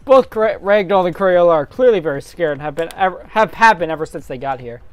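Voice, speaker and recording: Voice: medium pitch, expressive, a little fast, no room sound, faint music behind. A narrator giving a dramatic reading of a fan story in a hammy storybook style, with casual self-aware asides.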